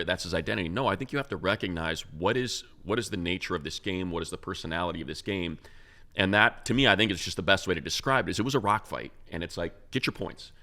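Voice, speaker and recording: A man's voice: low-pitched, bright, forceful tone. An American man co-hosting a sports show, giving unscripted football analysis in an emphatic, rambling, conversational way.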